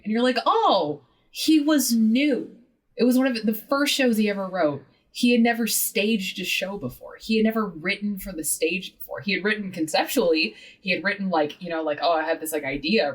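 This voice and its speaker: Animated woman, a little off-mic. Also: medium pitch, slightly rough, recorded from a distance. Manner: animated, heavy use of "like", swears casually. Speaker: podcast guest. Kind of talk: casual interview chat.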